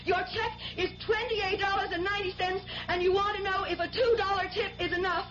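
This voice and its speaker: A woman's voice: very high voice, steady tone, a little fast, fairly bright tone. An actress playing a girlish, naive, good-hearted young woman in a 1970s radio drama. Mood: slow, trance-like showmanship. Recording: noisy, muffled 1970s radio broadcast recording.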